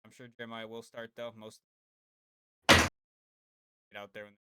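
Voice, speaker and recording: A man's voice: mid-range voice, completely flat delivery, normal speed, very noisy. A man co-hosting a casual podcast, rambling and laid-back, swearing freely. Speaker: podcast co-host.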